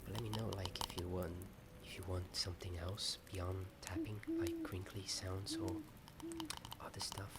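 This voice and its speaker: A man whispering a hesitant, rambling ASMR chat in a soft, often breath-only voice. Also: deep voice, very animated, moderate pace. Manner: hesitant, rambling, self-deprecating. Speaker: ASMR video host. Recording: strong ambient noise behind whisper. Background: added ambience sound.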